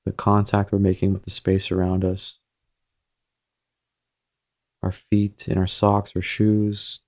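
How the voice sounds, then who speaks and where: A man leading a guided meditation in a gentle, soothing, measured manner with pauses between phrases. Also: fairly low pitch, gravelly.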